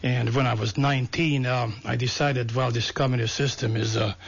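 An older Hungarian-born man with a Hungarian accent, a radio guest telling his life story in a halting way with many ums and uhs. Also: medium pitch, steady tone, vocal fry, slightly rough. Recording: lo-fi radio broadcast.

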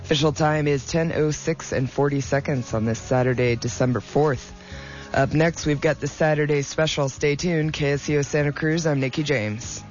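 A man's voice: a little high, fairly even intonation. A man co-hosting a radio show who reads station announcements and banters in an easygoing, agreeable way. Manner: easygoing, agreeable, quick to laugh. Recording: dull lo-fi radio broadcast, faint music.

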